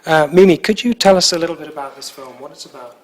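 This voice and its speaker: A man's voice: on the high side, fast talker. A man working as an interviewer, asking questions conversationally with informal, hesitant phrasing.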